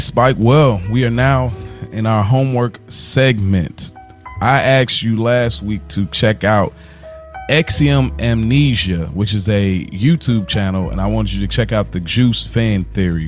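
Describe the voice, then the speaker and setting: A man's voice: fairly low pitch, unhurried, soft background music. A man co-hosting a podcast, talking casually in slang and telling things with quoted asides. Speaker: podcast co-host. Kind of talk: casual conversation.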